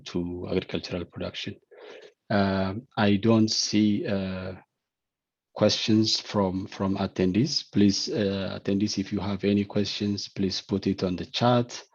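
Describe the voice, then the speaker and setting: A man moderating an online question session, speaking haltingly and repeating words as he searches for phrasing. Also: low voice, a little mellow. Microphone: video call. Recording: dull, lo-fi video call audio.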